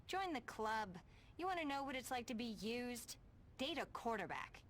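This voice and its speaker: Sarcastic, high-voiced young woman. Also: animated, moderate pace, fairly bright tone, slight background noise. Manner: sarcastic, mocking. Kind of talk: video game drama acting.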